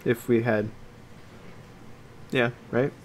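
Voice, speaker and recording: Man, podcast co-host, casual chat, halting and hedging, mid-range pitch, audible background noise. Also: steady tone, rough recording.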